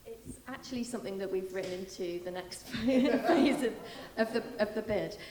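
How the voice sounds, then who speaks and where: A British woman on a panel answering a question in a measured way, with many ums. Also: medium pitch, darkish tone. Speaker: programme panelist. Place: large hall. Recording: echoey hall with faint ambience.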